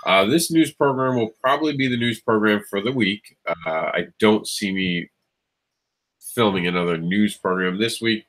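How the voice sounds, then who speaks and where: A lowish male voice, stuffed up and nasal from a cold that won't clear, with a slightly scratchy throat. He hosts a casual live show, talking loosely with plenty of ums, false starts and self-corrections. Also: moderate pace, fairly bright tone. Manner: rambling, many ums and restarts. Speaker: show host. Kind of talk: casual livestream chat.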